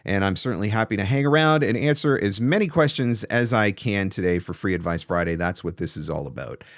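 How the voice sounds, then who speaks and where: A man in his forties with a fairly low voice talks to his viewers in a casual, emphatic way. His intonation is lively and moves around a lot. He sounds close to a studio mic in a dry small room. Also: brisk, no room sound. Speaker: host of a livestream on voiceover business. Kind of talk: casual livestream advice talk to viewers. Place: small indoor home studio. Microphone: large studio microphone close in front.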